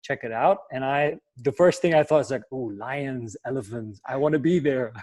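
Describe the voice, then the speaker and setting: A man's voice: medium pitch, moderate pace, no echo. A young adult man from Germany, whose first language is German, answering interview questions in English with energy and plenty of fillers. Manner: energetic, enthusiastic, many fillers. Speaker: guest, life coach.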